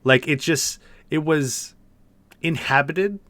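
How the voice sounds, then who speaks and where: A man's voice: fairly high pitch, takes it slowly, a bit bright, dry recording. A man co-hosting a podcast, chatting casually about a video game in loose, searching phrasing with repeated words. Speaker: podcast co-host.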